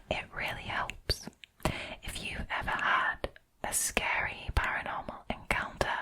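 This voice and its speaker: Slow whispering woman. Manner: soft unvoiced whisper throughout, unhurried. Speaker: host of a scary story channel. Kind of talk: whispered ASMR storytelling.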